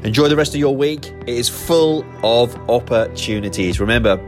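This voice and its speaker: A man's voice: medium pitch, moderate pace. A man hosting a podcast who closes the episode with an upbeat, motivational sign-off. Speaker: podcast host. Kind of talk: scripted podcast outro. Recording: clear music bed behind voice.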